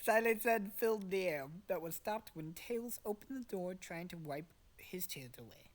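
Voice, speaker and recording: A woman's voice: fairly low pitch, lively intonation, normal speed. A young woman narrating fan fiction in a dramatic but casual, jokey storytelling style. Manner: dramatic storytelling, profane asides. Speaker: narrator and podcast cast member.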